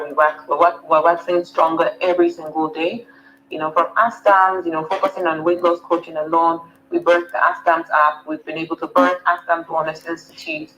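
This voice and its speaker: A woman's voice: low voice, a bit bright, lo-fi sound. A Nigerian woman, a wellness coach, earnestly answering summit interview questions and repeating words for emphasis.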